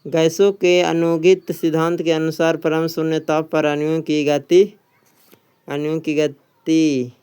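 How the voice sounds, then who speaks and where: A man's voice: a little high, completely flat delivery, takes it slowly, darkish tone, clear, resonant tone. A man working as an exam coach, reading quiz questions and answers aloud in an instructional way, often repeating them.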